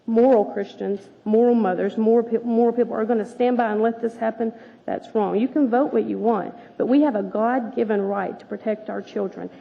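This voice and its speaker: A woman giving forceful, insistent testimony at a city assembly meeting. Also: mid-range voice, creaky. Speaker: public commenter. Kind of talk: impassioned public testimony. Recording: dull lo-fi recording in a reverberant hall.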